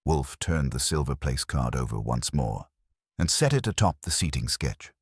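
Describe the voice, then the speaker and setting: A man narrating a scripted first-person detective story in a dry, wry, measured way. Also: extremely low pitch, very animated, husky, no room sound. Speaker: audiobook narrator.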